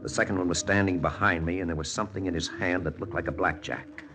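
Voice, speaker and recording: An actor playing a hardboiled, wry lieutenant in a 1940s radio spy drama, talking in a terse, deadpan way. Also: male voice, deep voice, fast, noisy background, natural voice. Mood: tense, terse. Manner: terse, deadpan first-person narration. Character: hardboiled American lieutenant, wry, jealous, narrating his own spy story. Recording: old radio recording with music underneath.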